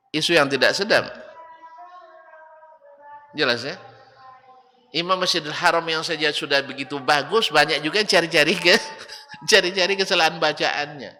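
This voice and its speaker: A male Islamic preacher, with a lilt, giving a lecture and rising to loud, emphatic rebukes and mocking rhetorical questions. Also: high-pitched. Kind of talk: religious study lecture. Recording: reverberant hall with faint background noise.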